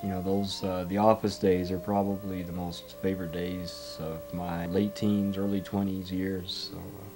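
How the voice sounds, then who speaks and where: A man in his forties casually reminiscing in an interview, hesitant and pausing often. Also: deep voice, level tone, music in the background. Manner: hesitant, with many pauses. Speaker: interviewee, former studio member.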